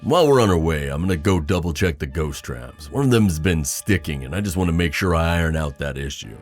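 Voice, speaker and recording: Deep-voiced, practical ghostbuster played by a man. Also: expressive, fairly quick, faint music behind. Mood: reassuring. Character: practical, skeptical, steady male ghostbuster technician. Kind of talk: audio drama acting.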